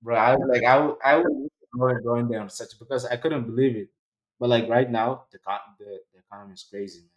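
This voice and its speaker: A man's voice: mid-range voice, quick pace, poor audio. A young man from Sudan, speaking casually as an interview guest and filling his talk with "like" and "bro". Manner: relaxed, frequent "like" and "bro". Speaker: guest, cloud computing worker.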